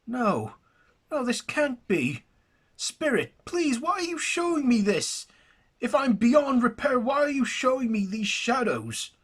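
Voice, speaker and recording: A man voicing a grown man who begs a spirit for another chance, pitched far above his own voice and breaking with desperation. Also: sing-song, measured pace, a bit bright, slightly rough, full character voice. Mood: desperate pleading. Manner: desperate, high-pitched begging.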